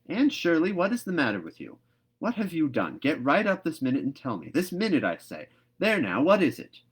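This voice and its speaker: A man voicing a stern, sharp-tongued middle-aged farm woman in a storybook, with brisk, emphatic scolding. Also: fairly high pitch, wildly swooping pitch, husky, pitched above the performer's own voice, heavily acted. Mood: alarmed, demanding.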